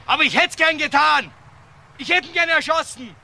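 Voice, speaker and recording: Very high, piercing agitated youth. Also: male voice, moderate pace, creaky, pushed and bright, heavily acted. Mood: agitated, insistent. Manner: insistent, repetitive.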